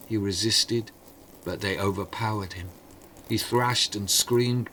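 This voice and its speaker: A man narrating as an oral storyteller, theatrical and deliberate, in short measured phrases. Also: low-pitched, fairly bright tone, a little hiss or hum, low-quality recording. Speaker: storyteller, podcast host.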